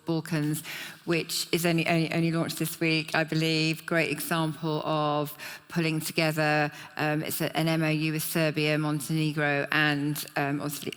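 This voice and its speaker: A woman speaking on a panel about public relations work, with many fillers and restarts. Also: deep voice. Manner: flat delivery with many fillers. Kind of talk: panel discussion. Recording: hall with long reverb.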